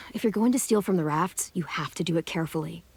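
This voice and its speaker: A woman's voice: lowish voice, fast talker, breathy edge, dry recording. A woman voicing a determined, serious teenage girl who leads survivors in a zombie apocalypse video game. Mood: hushed, planning. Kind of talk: video game dramatic voice acting. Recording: loud music under dialogue. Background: dramatic game music.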